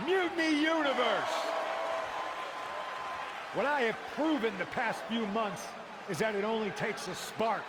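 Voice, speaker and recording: A man playing a cocky rebel wrestler and faction leader, giving a boastful promo speech with dramatic pauses over a loud arena crowd. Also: very high-pitched, heavy background noise. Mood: boastful, defiant. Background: roaring arena crowd.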